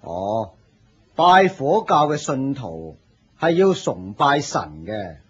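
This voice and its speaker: Stern, husky zealot senior disciple. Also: male voice, mid-range voice, very animated, slow pace, heavily acted. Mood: solemn, pompous. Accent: Hong Kong Cantonese. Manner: authoritative, dogmatic. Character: stern, pompous male eldest disciple, zealous and quick-tempered. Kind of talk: Cantonese radio drama acting. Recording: muffled lo-fi radio drama.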